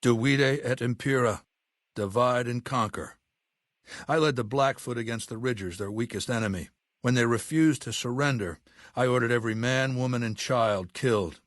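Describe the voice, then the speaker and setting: An actor playing an arrogant, commanding middle-aged warlord in a video game, speaking with condescending, deliberate diction. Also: male voice, medium pitch, no room sound, clean studio sound, lightly acted. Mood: contemptuous storytelling.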